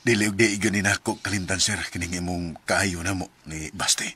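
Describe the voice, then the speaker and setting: An ailing, devout father in a radio drama, low in pitch with a very forceful, sharp edge. He is humble, earnest and grateful, heard over light background noise. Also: fairly even intonation, a little fast. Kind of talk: radio drama acting in Cebuano.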